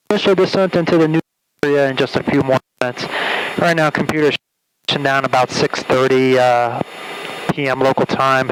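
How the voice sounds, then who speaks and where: A man, an airline pilot, making a routine, halting cabin announcement with frequent uhs over the aircraft PA. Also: mid-range voice, low-quality recording. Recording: aircraft PA with faint noise.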